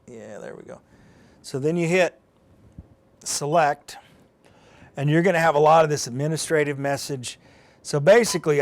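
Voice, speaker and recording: Guy with kinda higher voice doing a live walkthrough, plain talk, explains each step as he goes. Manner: conversational, explains plainly while demonstrating. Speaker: presenter of a live how-to demonstration. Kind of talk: hands-on step-by-step tutorial, informal and unscripted.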